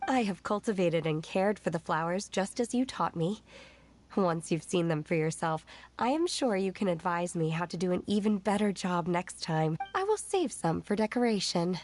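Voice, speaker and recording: A woman's voice: lowish voice, animated, normal speed, a little mellow, a little hiss or hum, natural voice. A woman playing a polite, reserved young disciple in a video game, speaking earnestly and formally.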